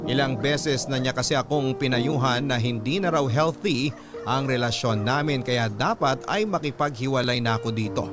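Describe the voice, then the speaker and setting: A man narrating a personal letter in the first person as part of a radio drama. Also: medium pitch.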